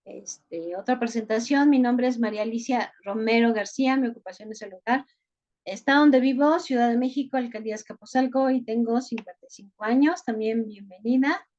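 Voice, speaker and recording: A woman's voice: fairly high pitch, fairly quick, a little mellow. A Mexican woman running an online class, chatty and full of filler words, reading out chat messages. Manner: chatty, frequent "este" fillers. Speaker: online course teacher. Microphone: video call. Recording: muffled lo-fi video-call audio.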